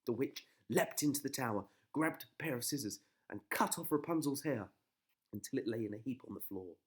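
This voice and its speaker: A man's voice: medium pitch, animated, a little fast, breathy edge. A man narrating a children's bedtime story as a dramatic storyteller.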